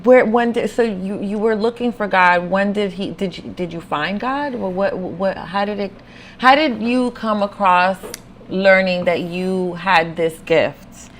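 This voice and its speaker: A woman hosts a podcast in a casual, chatty style. Her speech is informal and slangy, dotted with Spanish phrases, at a middling pitch. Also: a little slow, slight background noise. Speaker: podcast host. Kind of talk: casual conversational interview.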